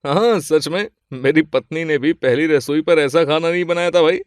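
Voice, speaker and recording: A man's voice: on the high side, normal speed, no room sound, studio quality, close to an everyday voice. A man voicing an easygoing, friendly adult son in a moral story, light and good-natured. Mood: teasing. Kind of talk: Hindi moral-story character acting.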